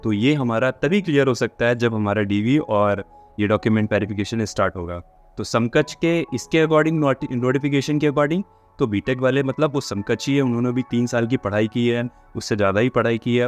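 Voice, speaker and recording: A man presents an informal, explanatory update in a mid-pitched voice. He drops English words into his speech as he addresses his audience of candidates. Music plays behind him. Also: a little fast. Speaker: host of a job updates channel.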